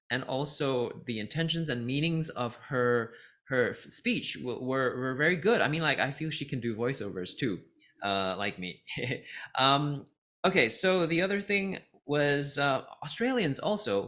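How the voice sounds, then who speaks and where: Bright-voiced man from Singapore reviewing casually. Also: medium pitch. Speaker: vlogger reviewing musicals, voice actor and teacher.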